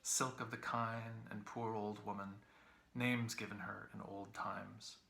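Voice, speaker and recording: A man reading literary prose aloud as an audiobook narrator, expressive yet measured and precise. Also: fairly low pitch, gravelly, echoey room. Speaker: audiobook reader, English professor.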